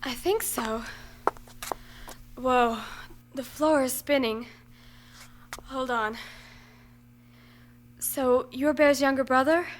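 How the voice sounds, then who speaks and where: A woman's voice: sits high, takes it slowly, pauses often, slight background noise. A woman playing an earnest, anxious but plucky teenage girl in an audio drama.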